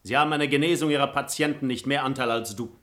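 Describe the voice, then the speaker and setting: Man acting a bright-voiced, haughty cynical master. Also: medium pitch, fairly even intonation, fast talker, lightly acted. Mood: amused mockery. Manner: mocking, dismissive, terse. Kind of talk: German radio play of classic comedy.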